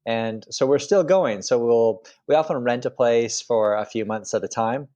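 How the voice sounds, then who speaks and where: A middle-aged man from Canada speaking as a guest author, relaxed and rambling, often restarting his phrases. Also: medium pitch, moderate pace. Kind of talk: interview conversation.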